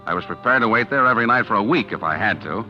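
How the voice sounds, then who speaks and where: A man's voice: lowish voice, expressive, rapid-fire, natural voice. A man playing a cool, scheming killer narrating. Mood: brooding. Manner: hard-boiled, confiding, with nervous stammers. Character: cool, calculating man plotting to poison his wife. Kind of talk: first-person narration in 1940s radio acting. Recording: dull 1940s radio recording with music underneath. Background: music.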